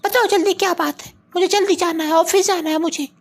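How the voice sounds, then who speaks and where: A woman as a shrill-pitched, nagging cartoon mother. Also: steady tone, fast. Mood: impatient. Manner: scolding, dismissive. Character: brusque, nagging working mother and scornful wife. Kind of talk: comic cartoon dialogue.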